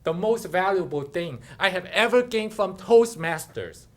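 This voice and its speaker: A man's voice: sits high, animated, a little slow, pitched above the performer's own voice. A man playing an earnest, honest young hero in a fairy tale. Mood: proud, earnest.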